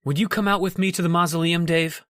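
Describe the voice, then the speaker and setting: A man's voice: a little high, fairly even intonation, quick pace, fairly bright tone, no echo, close to an everyday voice. A man playing an uneasy character fascinated by the supernatural in an old-time radio horror drama. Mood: uneasy, intrigued.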